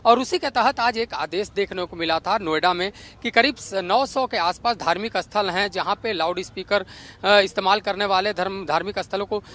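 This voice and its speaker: A male field reporter giving a steady news report outdoors, with a few small restarts. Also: high-pitched, moderate pace.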